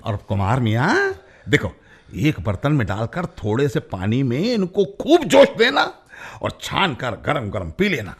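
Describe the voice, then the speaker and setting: Bossy jovial friend, radio drama, self-appointed doctor, insistent, very lively intonation, gravelly edge. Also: male voice, mid-range voice, unhurried, exaggerated voice. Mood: bossy, reassuring. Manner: insistent, chatty, confidently explaining. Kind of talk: Hindi-Urdu radio play acting.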